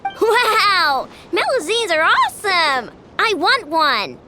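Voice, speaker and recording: A woman voicing an excitable, wide-eyed small child adventurer in a video game, speaking in exclamations. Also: very high voice, takes it slowly, noisy background, heavily acted. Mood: eager, curious. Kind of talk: animated video game voice acting.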